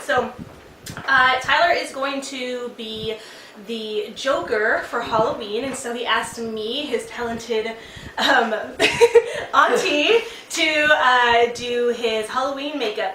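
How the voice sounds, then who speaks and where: A woman's voice: on the high side, bright, forceful tone, slight background noise. Stuffy-nosed woman vlogger, roomy sound. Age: adult aunt of a teen. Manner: chatty, giggly, many ums. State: stuffed up from a cold. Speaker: beauty vlogger host. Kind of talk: casual vlog chat. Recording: roomy sound, mic at a distance, faint music.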